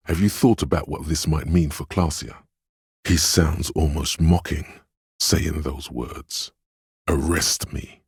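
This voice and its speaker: An actor playing a grave, compassionate inner voice of empathy in a game, narrating in a measured, solemn and tender way. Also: male voice, very deep voice, a little mellow, slightly rough, no room sound, clean studio sound, heavily acted. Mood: urgent, pleading. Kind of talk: dramatic game narration, second person.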